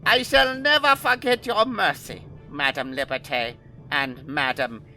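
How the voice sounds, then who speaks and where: An actor playing a mad-scientist villain in an adventure audio drama, with theatrical, formal delivery. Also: male voice, a little high, measured pace, pushed and bright, soft background music. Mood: defeated, chastened.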